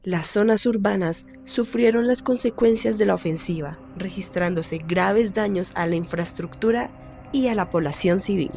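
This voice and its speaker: A woman's voice: mid-range voice, normal speed, gravelly, a little mellow, soft background music, natural voice. A woman playing a serious radio news anchor, announcing a scripted wartime bulletin in a formal manner.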